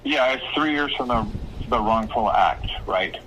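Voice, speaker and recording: A man speaking as an attorney, giving advice on a phone call in a measured, hesitant, explanatory way. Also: medium pitch, a bit bright. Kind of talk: phone consultation, casual advice. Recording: phone call with faint noise.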